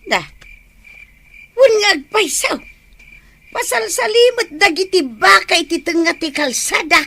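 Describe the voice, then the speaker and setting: A woman's voice: very high voice, expressive, measured pace, creaky, bright, forceful tone, full character voice. A woman playing a teasing, gossipy village neighbour in an Ilocano comedy drama, speaking with an Ilocano accent. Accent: Filipino, Ilocano. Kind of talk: acted village comedy dialogue.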